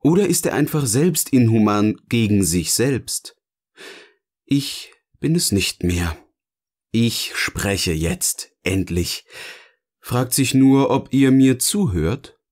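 Ein Mann spielt in einem Hörspiel einen eloquenten, sardonischen Erzähler, der bedächtig und theatralisch philosophiert. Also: male voice, medium pitch, expressive, takes it slowly, darkish tone. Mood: musing, relieved. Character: eloquent, sardonic male narrator finally free to speak. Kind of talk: scripted audio drama dialogue, philosophical musing.

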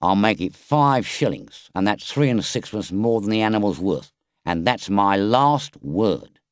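A man's voice: on the low side, lively intonation, a little slow, dry recording, clean studio sound. A man voicing a curt old horse trader. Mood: dismissive. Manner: curt, careless.

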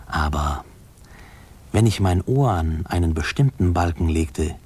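A reflective, uneasy storyteller looks back on boyhood in an old radio play. His voice is low and husky. He narrates intimately, with hushed, halting phrases. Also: expressive, heavily acted. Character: reflective man recalling his fearful, spying boyhood.

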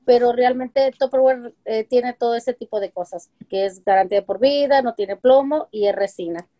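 A woman's voice: a little high, normal speed, dark tone. A woman in her forties, talking as a guest on a video call from home. She explains with lots of examples and fillers. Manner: chatty, explains with examples, many fillers like "este" and "eh". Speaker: guest, home-business seller. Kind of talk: casual interview over video call. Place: home room with shelves of colorful containers. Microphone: video call webcam.

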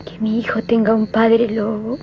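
A woman's voice: fairly high pitch, completely flat delivery, moderate pace, creaky. An actress playing a devoted young woman in love, pleading breathily in a 1970s film. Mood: yearning. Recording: dull vintage film soundtrack, faint music.

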